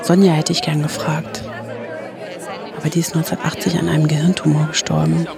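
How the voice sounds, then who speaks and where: An actress playing a self-doubting radio journalist, talking to herself in a naturalistic, tentative way. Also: female voice, low-pitched, little pitch movement, brisk, vocal fry, music in the background, crowd noise. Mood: distracted, musing. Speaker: radio play protagonist, reporter. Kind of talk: naturalistic radio drama monologue.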